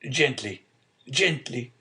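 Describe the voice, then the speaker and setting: A man voicing a frail, gentle old father in an audiobook story, meek and halting as he placates. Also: a little high, pitched above the performer's own voice. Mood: gentle, placating.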